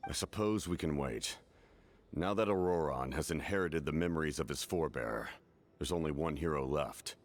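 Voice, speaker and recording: An actor playing a stern, calculating military commander in a video game, speaking in a cool, measured way. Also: male voice, extremely low pitch.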